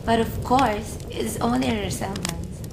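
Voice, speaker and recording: A woman's voice: a little high, unhurried, background noise. An actress playing an emotional, easily wounded woman in a radio play. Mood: subdued, gentle. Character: bitter, hurt young woman, later gentle middle-aged daughter.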